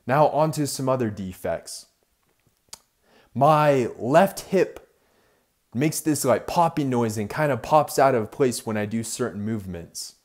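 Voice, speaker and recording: Young man, nasal, unhurried delivery, earnest upbeat vlogger speaking into an earphone mic in a small room. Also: mid-range voice, darkish tone. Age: young adult. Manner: upbeat, earnest, talks to camera. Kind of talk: casual self-improvement vlog. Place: small indoor room by a door. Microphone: earphone headset mic.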